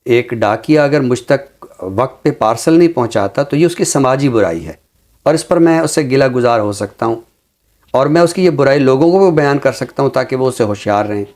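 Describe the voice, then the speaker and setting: A man speaking in a measured, deliberate and earnest way, explaining his views as a talk show commentator with a literary vocabulary. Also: medium pitch, lively intonation, a little mellow.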